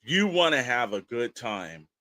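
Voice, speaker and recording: A man's voice: mid-range voice, very animated, unhurried, bright, forceful tone. An American man co-hosting a podcast in a casual, chatty style, speaking informally and repeating phrases for emphasis. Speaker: podcast co-host.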